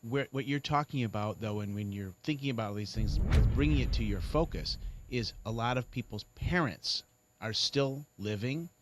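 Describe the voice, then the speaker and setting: A man's voice: mid-range voice, normal speed, background noise. A man in his forties, co-host of a legal talk radio show, joking and spinning long run-on points. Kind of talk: casual talk radio banter. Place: radio studio.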